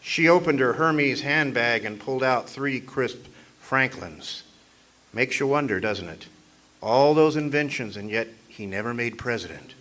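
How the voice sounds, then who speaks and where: An actor playing a wry, deadpan hardboiled private detective, narrating and trading lines in an old-time radio noir style. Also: male voice, medium pitch, a little slow. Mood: dry banter. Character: wisecracking hardboiled 1940s private detective, narrating his own case. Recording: faintly noisy live stage recording.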